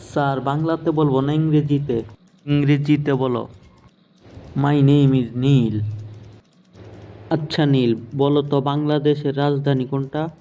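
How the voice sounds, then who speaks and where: A man's voice: mid-range voice, little pitch movement, slow, long pauses, dark tone. A man voicing a character in a comic cartoon interview skit, plain and conversational in manner.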